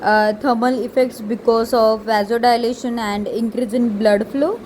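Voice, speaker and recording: Slightly high-voiced young woman presenting. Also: fairly even intonation, normal speed, darkish tone, slight background noise. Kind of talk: explanatory slide presentation.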